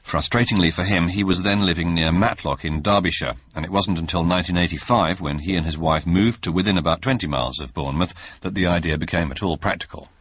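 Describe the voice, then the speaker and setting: A deadpan radio documentary reporter, meandering and self-correcting in a very low, husky tone. The sound is dry and a little dull. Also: male voice, fast, few pauses, dry recording. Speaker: documentary narrator.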